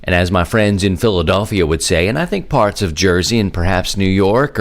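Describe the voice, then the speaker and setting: A man hosting a podcast, talking casually and conversationally in halting phrases with pauses. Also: sits low. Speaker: podcast host. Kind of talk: casual solo podcast talk.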